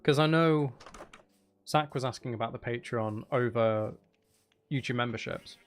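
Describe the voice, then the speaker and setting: A man's voice: mid-range voice, measured pace, clean tone, faint music behind. A man chatting casually and in a rambling way as he hosts a livestream while gaming. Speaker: streamer, ASMR channel host.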